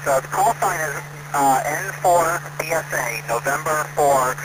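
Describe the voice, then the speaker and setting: A man's voice: fairly high pitch, a little slow. Over a two-way radio from his truck, an American man from Florida chats casually, hesitating and repeating words. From Florida, USA. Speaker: amateur radio operator. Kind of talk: casual ham radio chat. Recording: very noisy mobile radio signal, inverter hum.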